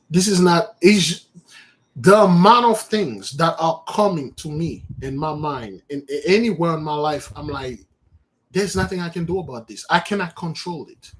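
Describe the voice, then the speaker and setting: A man with as his giving an informal spiritual talk to his followers, animated, repeating words and calling out to his listeners. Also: on the high side, rough recording. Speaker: spiritual teacher.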